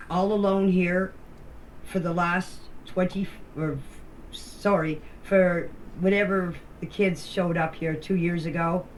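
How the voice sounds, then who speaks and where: A woman recounting events informally, in a blunt, defensive and emphatic manner. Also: on the low side. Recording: faintly noisy voice-message recording.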